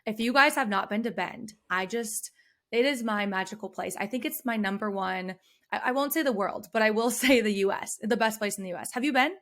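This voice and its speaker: A woman hosting a podcast in casual chat, her voice a bit hoarse, telling stories in a bubbly, animated way. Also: medium pitch, fairly quick. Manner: bubbly, animated storytelling with many "like" fillers. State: slightly hoarse. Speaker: podcast host, career coach.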